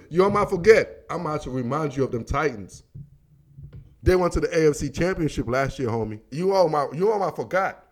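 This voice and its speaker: An American man giving casual, slangy football commentary in an animated, emphatic, repetitive manner, talking straight to his listeners. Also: fairly high pitch. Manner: animated, emphatic, repeats himself, addresses listeners as dog and baby. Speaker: sports commentator, host.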